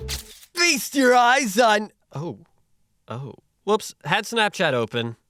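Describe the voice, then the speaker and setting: A man's voice: sits high, huge pitch swings, slow pace, sharp tone, dry recording, studio quality, soft background music, full character voice. A man voicing a naive, cocky young newcomer in an anime parody, glib and sarcastic with some stammering.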